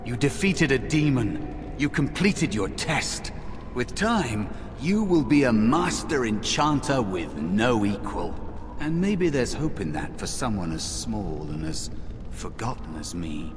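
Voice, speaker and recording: Animated, pleading young apprentice mage. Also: male voice, medium pitch, measured pace. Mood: earnest, coaxing. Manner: earnest, dramatic, imploring. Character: earnest, furtive young male apprentice mage spirit, pleading. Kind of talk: fantasy video game acting. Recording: long reverb, clear background noise.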